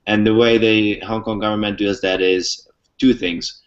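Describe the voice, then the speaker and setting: A man's voice: lowish voice, level tone, moderate pace. A young man from the Czech Republic, an interview guest, explaining things fluently step by step with many "um"s. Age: young adult. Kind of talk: casual explanatory talk. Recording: muffled lo-fi recording.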